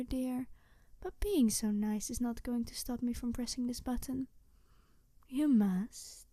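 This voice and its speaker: A woman playing a snarky, bitter supervillain in an ASMR audio roleplay, taunting her captive in a theatrical, deliberate way with breathy whispered touches. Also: fairly high pitch, warm tone, no echo. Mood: sardonic, unsettled.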